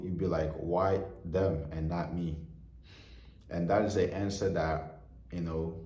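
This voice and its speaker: A man's voice: low-pitched, darkish tone. A man in his twenties giving an earnest motivational heart-to-heart to camera, full of "you know" fillers. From Nigeria. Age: 20s. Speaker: vlogger. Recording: echoey room.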